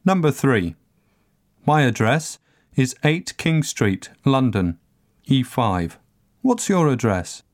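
A man narrating a scripted language course recording, enunciating each word carefully and deliberately. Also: medium pitch, big pitch swings. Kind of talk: scripted language-lesson reading.

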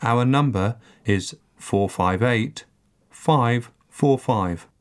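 A man narrating a scripted language course recording, enunciating each word carefully and deliberately. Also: mid-range voice. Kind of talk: scripted language-lesson reading.

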